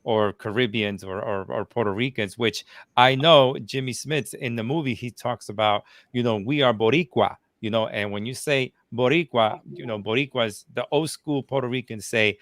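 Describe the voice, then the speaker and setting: A man, a guest reviewer from New Jersey, talking in a casual panel chat, animated, with many restarts and fillers. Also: mid-range voice, normal speed, no room sound. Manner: animated, talks with his hands in phrases, many restarts and fillers.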